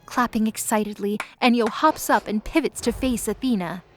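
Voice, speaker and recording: A woman's voice: on the high side, moderate pace, noisy background. A woman narrator reading scripted story narration for an audio drama.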